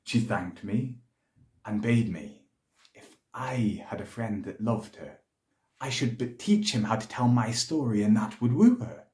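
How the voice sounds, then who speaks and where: A man acting as a proud soldier pleading his case in Shakespearean verse. The voice is low-pitched and the delivery is measured and rhetorical, with formal eloquence. It rings in a very echoey room. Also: very animated, mellow. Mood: reminiscing, absorbed. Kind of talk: Shakespearean dramatic monologue.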